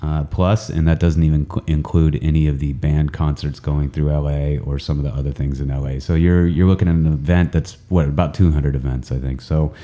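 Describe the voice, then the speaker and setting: A man hosting a podcast in casual chat, his voice deepened by a cold, rambling and joking at his own expense with many ums and uhs. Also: steady tone, a little fast, few pauses, dark tone. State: deep, congested voice from a cold. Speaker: podcast host. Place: indoor training center room, several people around a table.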